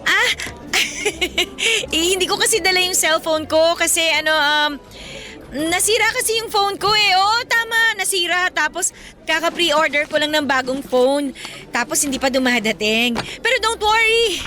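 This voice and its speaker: Bright, high-voiced nervous schoolgirl. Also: animated, a little slow, soft background music, exaggerated voice. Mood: flustered. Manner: giggly, hesitant, evasive. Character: nervous, fibbing teenage schoolgirl hiding her poor background. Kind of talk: radio drama acting.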